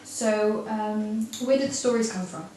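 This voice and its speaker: A woman's voice: medium pitch, measured pace, warm tone, a little hiss or hum, rough recording. A young woman interviewing a relative about bedtime stories, encouraging and laughing often. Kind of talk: casual family interview.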